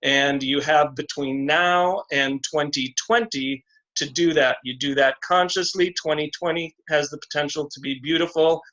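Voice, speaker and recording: A man giving a slow, deliberate, encouraging astrology reading on a video call. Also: on the high side, fairly even intonation, pushed and bright. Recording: dull lo-fi video call audio.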